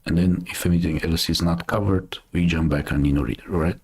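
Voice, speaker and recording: Husky low-voiced Romanian man. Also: fairly even intonation, darkish tone. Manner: halting, thinking aloud with many fillers. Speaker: host. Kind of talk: casual live news commentary.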